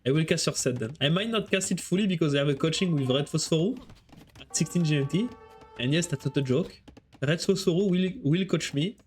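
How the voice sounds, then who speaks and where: A man's voice: fairly high pitch, brisk, a little mellow, soft background music. A man with a French accent casting a strategy game on a live stream, chatting with viewers between plays. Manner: chatty, answers chat while casting.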